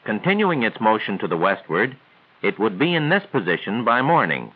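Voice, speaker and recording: A man's voice: on the low side, huge pitch swings, slightly rough. Tinny 1940s male film narrator. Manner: measured, formal, didactic. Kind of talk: scripted 1940s instructional narration. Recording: tinny band-limited 1940s film soundtrack.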